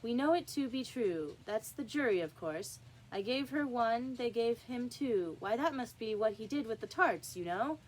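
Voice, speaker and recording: A woman's voice: on the high side, animated, moderate pace, few pauses, slight background noise, natural voice, rough recording. A woman voicing a pompous, smug, muttering king in a storybook reading. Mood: muttering, pleased. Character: pompous, muttering king, adult man, smugly triumphant.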